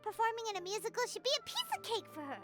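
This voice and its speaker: A woman voicing a small, excitable female sprite companion in a video game who talks about herself in the third person. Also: very high-pitched, rapid-fire. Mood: chirpy. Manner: excitable, refers to herself by name. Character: tiny floating female companion sprite, chirpy and eager. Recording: game audio with loud music behind.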